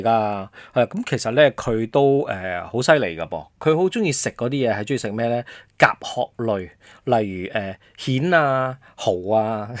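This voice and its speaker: A Hong Kong Cantonese man hosts a casual quiz with very varied, animated intonation. He explains things in a playful, prompting way, tossing out questions and hints. The sound is dry, with little room on it. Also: medium pitch, dry recording. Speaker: radio host and quizmaster. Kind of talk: casual promotional quiz chat.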